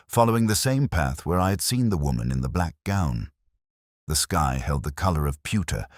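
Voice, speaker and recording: A man voicing a brooding, introspective police detective who recounts a case in measured, grave, literary first-person narration for a mystery audio drama. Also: rumbling low, no echo, clean studio sound, full character voice.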